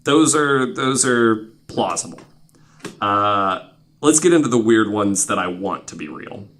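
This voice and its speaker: A man's voice: lowish voice, expressive, a little slow, fairly bright tone. A man hosting a podcast, telling stories casually to co-hosts in an informal, wryly skeptical way with some swearing. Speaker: podcast host.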